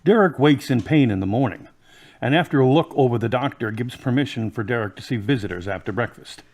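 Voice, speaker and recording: A man narrating an amateur audio drama in a plain, steady storytelling manner. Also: medium pitch, fairly quick.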